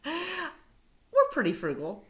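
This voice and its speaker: A woman's voice: high voice, wildly swooping pitch, drawn-out words. A woman co-hosting a casual money podcast, chatty and laughing often. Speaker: podcast co-host.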